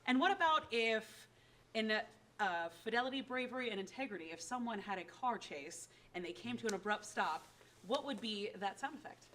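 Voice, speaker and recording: An enthusiastic woman with a bright, forceful voice, cheerfully showing off sound effects from her troupe's shows. She talks in a casual, gushing way. A faint hiss and some clicks sit behind her. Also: on the high side, lively intonation, brisk. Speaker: radio drama troupe member. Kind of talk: casual demonstration talk.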